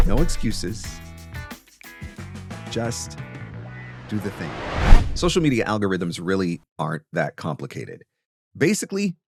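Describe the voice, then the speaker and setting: Low-voiced man hosting an advice podcast. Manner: emphatic, conversational, addresses listener directly. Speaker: podcast host; voice actor. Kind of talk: marketing advice podcast monologue.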